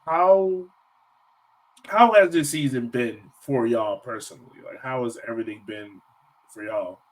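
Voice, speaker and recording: A man's voice: medium pitch, a little slow, low-quality recording. An American man interviewing a guest as podcast host in informal, slangy talk with plenty of "man" and "yo". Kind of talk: casual interview chat.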